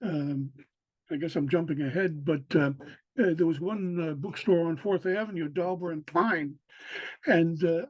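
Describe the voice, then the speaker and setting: Older New man, halting speech. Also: a little high, no room sound. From New York City. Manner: halting, many fillers and restarts. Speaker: interviewee, local historian. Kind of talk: casual recorded interview. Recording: dry, dull lo-fi sound.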